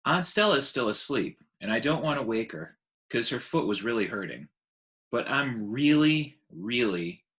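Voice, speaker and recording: A man's voice: mid-range voice, very animated, measured pace, a bit bright. A man voicing a curious, eager baby girl elephant in a children's book, with a childlike, questioning manner. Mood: eager, bored. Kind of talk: children's book read aloud.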